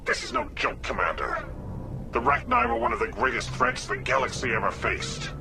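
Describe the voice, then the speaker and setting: An actor playing a haughty, outraged alien politician in a video game, scolding and indignant. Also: male voice, medium pitch, huge pitch swings, normal speed, vocal fry, over music.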